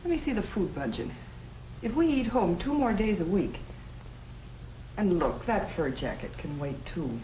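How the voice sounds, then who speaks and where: An actress playing a spirited young American working wife who coaxes and argues, in the style of a 1950 educational film. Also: female voice, medium pitch, very animated, unhurried, long pauses, mellow. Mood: eager. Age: young adult. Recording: echoey, faintly noisy 1950 film soundtrack.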